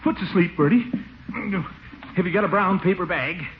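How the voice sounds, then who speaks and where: An actor playing a pompous, flustered middle-aged uncle in a 1940s radio comedy, blustering and muttering to himself. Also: male voice, sits high, normal speed, natural voice. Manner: blustery, muttering, self-important. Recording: dull, noisy 1940s radio recording.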